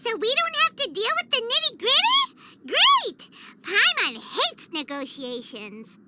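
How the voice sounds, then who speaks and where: A woman voicing a tiny, excitable, childlike fairy companion in a video game cutscene, squeaky and cheeky. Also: measured pace, very noisy, heavily acted. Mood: excited. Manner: exclamatory, cheeky.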